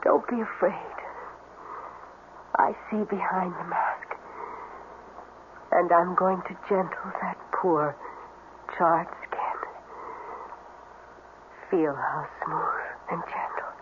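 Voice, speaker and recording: An actress playing a strange, feverish swamp girl in an old-time radio drama, breathy, languid and melodramatic. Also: on the low side, steady tone, slow, pauses often. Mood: soothing, sensuous. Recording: muffled, noisy old radio recording.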